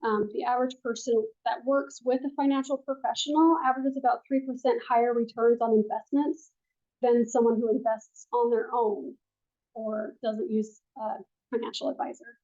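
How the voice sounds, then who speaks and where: A woman advisor teaches a room full of people, quiet and gentle, with a lot of ums. Her voice sits fairly high but comes across mellow. The recording is muffled and lo-fi, picked up by a room mic. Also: little pitch movement, normal speed. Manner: soft-spoken, with frequent ums. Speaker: financial advisor teaching a class. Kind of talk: classroom presentation.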